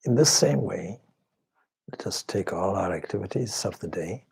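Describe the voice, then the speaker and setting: Male meditation leader, low-pitched and heavily breathy-husky, measured and reverent, pausing often. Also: expressive, a little mellow. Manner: measured, reverent, pausing between short phrases. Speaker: swami leading a guided meditation. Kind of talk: slow spoken guided meditation.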